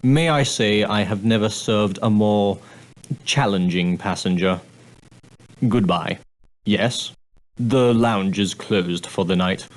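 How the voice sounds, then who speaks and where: A prim and proper male steward of an ocean liner, speaks in a fairly low voice. He is formal and clipped, with a dry, condescending edge beneath the courtesy. It is scripted character dialogue for an adventure game. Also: takes it slowly. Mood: prim, sardonic. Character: prim, straight-laced male ship's steward, dryly sardonic.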